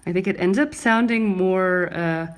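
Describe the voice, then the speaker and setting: Low-pitched American woman teaching hands-on. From Brooklyn, New York, United States. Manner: clear, deliberate enunciation. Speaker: microblading instructor and artist. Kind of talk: live demonstration with casual explanation.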